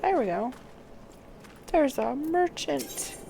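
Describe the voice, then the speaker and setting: A woman's voice: high-pitched, sing-song, takes it slowly, mellow, slight background noise. A woman game streamer talking through a walkthrough, reading on-screen text aloud and muttering to herself in between.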